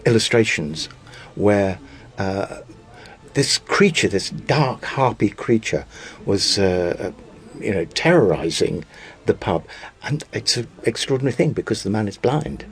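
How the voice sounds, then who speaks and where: A man, an actor, talking reflectively and expressively about his roles in an interview. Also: lowish voice.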